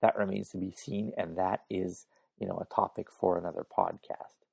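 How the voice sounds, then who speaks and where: A man hosting a casual solo podcast, hoarse and raspy, chatting informally and thinking aloud on a makeshift mic setup. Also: sits low, steady tone, moderate pace, dark tone, no room sound. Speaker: podcast host. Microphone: makeshift setup without proper recording gear.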